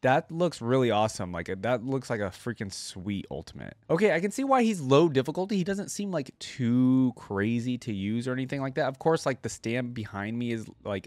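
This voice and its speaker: A man's voice: mid-range voice, dry recording. A man hosting a reaction video with informal, easily impressed commentary, reading things out and reacting as he goes.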